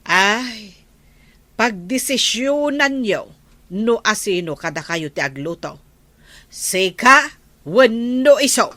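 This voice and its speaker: Woman playing a bossy, sharp, slow-talking village wife. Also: on the high side, expressive, dry recording, full character voice. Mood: complaining, haughty. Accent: northern Philippine. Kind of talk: comedy audio drama acting.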